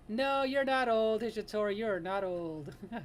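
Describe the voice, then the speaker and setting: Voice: mid-range voice, slight background noise. Laughing, chatty streamer in their thirties. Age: 30s. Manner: laughs often, greets chat viewers by name. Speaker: live-stream artist host. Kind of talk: casual live-stream chat while drawing.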